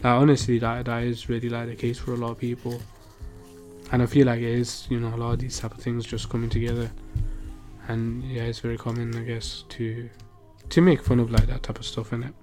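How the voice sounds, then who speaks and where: Young British male co-host, casual and slangy, emphatic delivery, medium pitch, soft music underneath. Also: completely flat delivery, a little fast. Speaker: podcast co-host. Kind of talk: casual podcast chat.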